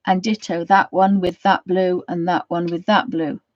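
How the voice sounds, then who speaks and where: A woman with a croaky voice from a cold, chatting casually as she gives a painting tutorial and rambling with many ums. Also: fairly low pitch, level tone, moderate pace, no room sound. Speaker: art channel host. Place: home studio. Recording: dry, slightly dull sound.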